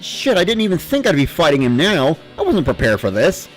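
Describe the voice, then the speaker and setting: A man narrating in the first person with an expressive, dramatic delivery. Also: on the high side, brisk, soft background music. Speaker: narrator of a dramatic reading series.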